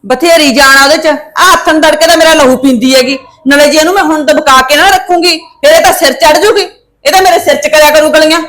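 Casting: a woman as a bossy scolding mother, high-voiced and sharp. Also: fast, echoey room. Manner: nagging, sharp, domineering. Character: scolding harsh mother of grown daughters. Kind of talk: short-film drama acting.

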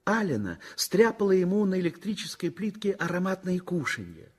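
A man with a husky, slightly breathy voice reads a literary story aloud for a radio play. His pitch rises and falls freely, and he lets each phrase swing with feeling. The delivery is measured and expressive, like classic radio theatre. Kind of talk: scripted literary narration, 1960s radio theatre.

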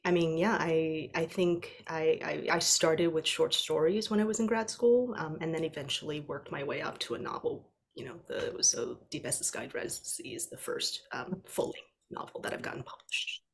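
A lower-voiced woman from Taiwan, whose first language was Chinese, talks over a video call from home. She chats loosely, full of fillers and restarts. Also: lively intonation, moderate pace, vocal fry, few pauses, husky. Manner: chatty, many fillers like "like" and "you know". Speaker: debut novelist on a panel. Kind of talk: casual panel conversation. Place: home room with framed pictures.

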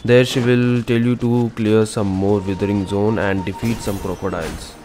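A man's voice: on the low side, steady tone, moderate pace. A man narrating a game walkthrough as an informal, instructional gaming channel host. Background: game music.

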